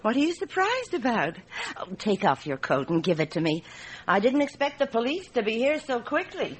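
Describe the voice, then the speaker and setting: A woman's voice: fairly high pitch, very animated, normal speed, slightly rough, low-quality recording. An actress playing a candid, amused Frenchwoman in her thirties in a radio drama, chatty and hesitant. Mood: breezy, curious. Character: candid, amused 34-year-old Frenchwoman, plump bar hostess.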